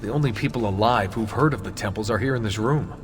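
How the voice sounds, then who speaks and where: An actor playing a casual, wry young assassin in hiding in a video game, easygoing. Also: male voice, lowish voice, fast talker, close to an everyday voice. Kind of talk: video game cutscene acting. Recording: long hollow reverb under music.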